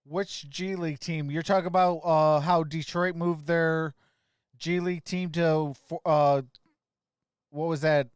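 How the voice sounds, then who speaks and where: Male stream host, lightly raised pitch, dry close sound. Informal, off-the-cuff play-by-play and chat. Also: steady tone, measured pace, clear, resonant tone, no room sound. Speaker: live stream host calling a basketball game.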